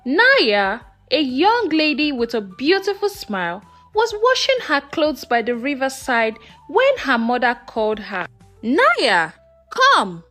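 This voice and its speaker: Woman storyteller, high voice, very lively intonation, unhurried dramatic narration, soft music behind. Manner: measured, expressive storytelling delivery. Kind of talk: scripted dramatic folktale narration.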